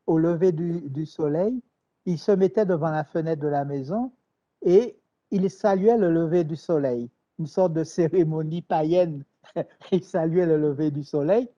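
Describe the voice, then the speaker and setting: A man from Martinique, answering interview questions in a measured, thoughtful way with hesitations and repeated words. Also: a little high, mellow. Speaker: writer, interview guest. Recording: dull lo-fi sound.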